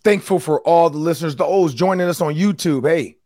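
A man hosting a sports podcast, talking in a loose, upbeat way with a hoarse voice. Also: on the high side, a little fast, darkish tone, no room sound, clean studio sound. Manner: upbeat, loose, repeats words. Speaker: podcast host. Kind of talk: casual sports talk.

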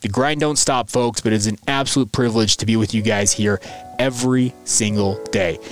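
A man's voice: on the low side, moderate pace, faint music behind. A man with an American accent giving conversational solo sports commentary as a podcast host, addressing listeners directly in a folksy way.

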